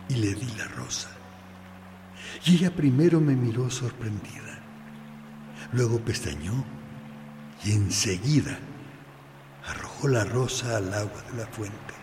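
An actor with a Uruguayan accent playing an earnest, intense boy, telling his story deliberately and emphatically in a radio play. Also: on the low side, background noise. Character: earnest, intense boy recounting small heartbreaks and grudges. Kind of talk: dramatic monologue in a radio play. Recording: long reverb, music bed underneath.

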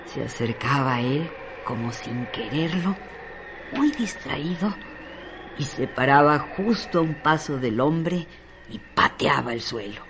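A man narrating a literary radio drama with deliberate, theatrical phrasing. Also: fairly high pitch, animated, noisy background.

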